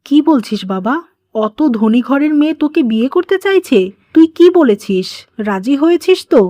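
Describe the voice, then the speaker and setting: A domineering cartoon mother-in-law, acted by a woman, greedy and full of contempt. Her voice sits fairly high and is recorded very dry. She scolds and pushes as she schemes. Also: lively intonation, moderate pace, mellow, no echo. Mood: eager, scheming. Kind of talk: moral-story cartoon dubbing.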